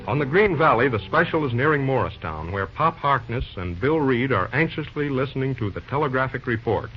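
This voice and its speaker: A man's voice: medium pitch, lively intonation, fairly quick. Old-time radio announcer, man, over music. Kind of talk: 1940s radio scripted narration. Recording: dull vintage radio recording with music. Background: prominent music.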